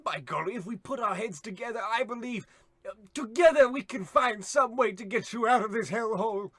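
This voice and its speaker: A man's voice: high-pitched, brisk, fairly bright tone, no room sound. A man playing a meek, loyal, deferential longtime servant who stammers, in a radio comedy play. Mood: earnest devotion. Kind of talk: old-time radio comedy acting.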